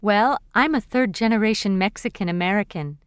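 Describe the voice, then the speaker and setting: An actress playing a cheerful young female university student in a scripted textbook dialogue, speaking clearly and expressively. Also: medium pitch, fairly quick, dry recording, studio quality, close to an everyday voice. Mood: chatty. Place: recording studio.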